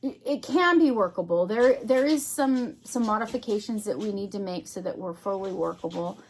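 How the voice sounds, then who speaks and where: A woman giving an unscripted, casual hobby vlog, thinking aloud in short, halting phrases. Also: mid-range voice, a little hiss or hum, low-quality recording. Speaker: craft vlogger.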